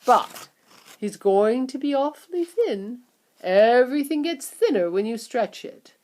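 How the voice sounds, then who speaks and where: A woman's voice: fairly high pitch, lively intonation, unhurried, darkish tone, pitched above the performer's own voice. A whimsical, eccentric candy-maker with a breezy, sing-song delivery that bounces around, put on by a woman reading aloud. He sounds cheerful and a bit mad.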